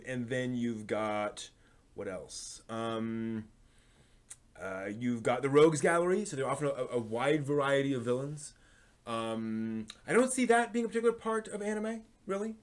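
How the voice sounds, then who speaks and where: A man hosting a live stream, talking in a casual, analytical way and often halting as he thinks aloud. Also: medium pitch. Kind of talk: casual rambling live commentary on anime and comics.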